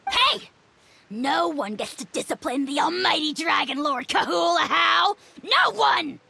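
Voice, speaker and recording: A woman's voice: sits high, expressive, unhurried, gravelly, bright, forceful tone, no room sound, shouting, heavily acted. A woman voicing a small, haughty dragon lord in a video game, grandiose and mocking toward everyone. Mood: indignant. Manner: grandiose, mocking, laughs at others.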